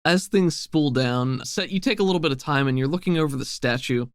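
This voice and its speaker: A man's voice: a little high, a little fast, no echo, studio quality. A man working as game master, casually improvising narration and rulings for a tabletop game.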